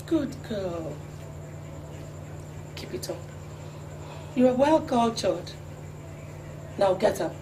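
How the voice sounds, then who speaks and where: A woman playing a slow, commanding haughty wife. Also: medium pitch, creaky, noisy background. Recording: distant, echoey, with music.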